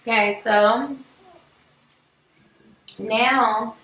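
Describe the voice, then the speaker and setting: Young adult woman, American, casual cooking host, sassy and folksy, distant echoey sound with clear background noise. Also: on the high side, steady tone, drawn-out words, fairly bright tone. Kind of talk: casual home cooking chat. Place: home kitchen, indoors. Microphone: far camera microphone. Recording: roomy, distant, noisy kitchen recording.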